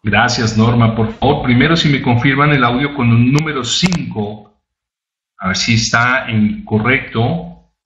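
A man's voice: medium pitch, measured pace, fairly bright tone, a little hiss or hum. Upbeat man presenting over a dull web call. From Colombia. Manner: upbeat, rallying, engages the chat. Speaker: corporate department head. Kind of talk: webinar presentation. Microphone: computer mic on a web conference. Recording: roomy, dull web conference audio.

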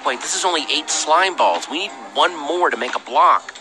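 A man's voice: a little high, expressive, moderate pace, natural voice. An actor playing an earnest, pleading young man, the scrappy hero of an adventure game, talking casually. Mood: thinking aloud. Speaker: game protagonist. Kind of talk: animated game dialogue. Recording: game music behind the voice.

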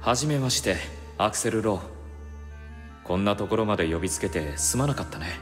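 Man playing a calm, low-voiced, enigmatic mastermind. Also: lightly acted. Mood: courteous, composed. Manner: soft-spoken, measured, formal politeness. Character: calm, courteous, enigmatic male mastermind sorcerer. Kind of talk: anime game voice acting. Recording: long reverb over background music.

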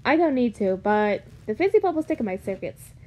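A woman voicing a naive, gentle young robot, earnest and hesitant, in an amateur comic dub. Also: on the high side, very animated, brisk, low-quality recording. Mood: cheerful.

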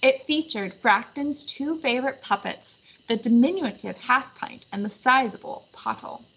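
A woman reading a children's storybook aloud in an animated narration style. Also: on the high side, moderate pace, breathy edge. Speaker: storybook reader. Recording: slightly muffled, faint background noise.

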